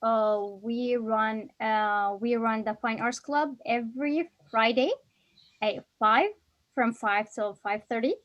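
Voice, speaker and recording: Young woman, Arabic speaker, slightly higher voice, friendly and giggly, talking over a video call. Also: fairly even intonation, takes it slowly, highs cut off. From Egypt. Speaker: honors student, club vice president. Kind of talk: casual video call chat.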